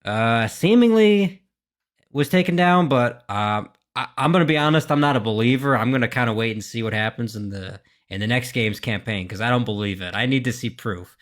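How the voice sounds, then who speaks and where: A man hosts a gaming reaction video in a middle-pitched voice. He talks casually and without a script, working through his thoughts aloud with hesitations. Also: fairly quick. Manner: hesitant, thinking aloud, mild swearing. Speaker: YouTube gaming reaction host. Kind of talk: casual unscripted reaction commentary.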